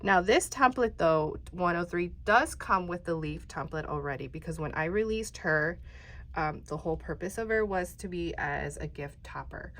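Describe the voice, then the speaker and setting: A woman's voice: fairly low pitch, normal speed, fairly bright tone, a little hiss or hum. A woman hosting a casual paper craft tutorial, a bit congested, chatty and rambling, often dropping to a near-whisper while she works. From Texas. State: congested, stuffy-sounding.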